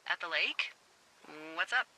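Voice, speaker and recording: A woman's voice: lowish voice, animated, a little slow, bright, forceful tone, heavily acted, rough recording. A woman with an American accent playing a wry, flirtatious fire lookout in a video game, talking candidly over a two-way radio. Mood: concerned, wry. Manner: wry, teasing, conversational.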